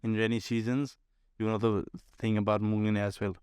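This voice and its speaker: A man's voice: lowish voice, level tone, a little fast, darkish tone, clear, resonant tone, no room sound. A man with a Nepali accent answering conversationally in an interview, explaining things and often rephrasing as he goes. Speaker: air traffic controller, podcast guest.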